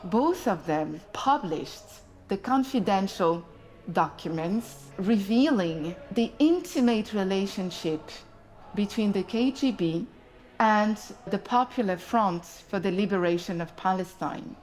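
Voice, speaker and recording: A woman making a documentary statement in a slow, emphatic way, stressing single words. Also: medium pitch, lively intonation, darkish tone, slight background noise, rough recording. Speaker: documentary speaker.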